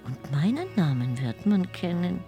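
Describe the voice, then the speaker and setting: A Persian royal lady in a radio play, mocking and soothing at once. Her voice is creaky and swings widely in pitch over faint music. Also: expressive, a little slow. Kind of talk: intimate radio drama acting.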